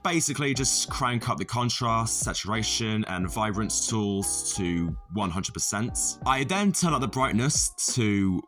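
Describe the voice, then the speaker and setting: A man giving a casual tutorial voice-over as a video creator, with deadpan, self-deprecating, sweary humour. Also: fairly low pitch, unhurried, hardly any pauses. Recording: music playing under the voice. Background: background music.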